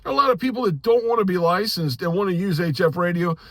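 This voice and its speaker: Higher-voiced older American man, earnest and plainspoken. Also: a bit bright, no echo. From United States. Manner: plainspoken, earnest, halting with repeated words. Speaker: amateur radio hobbyist giving commentary. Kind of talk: informal opinion monologue to camera.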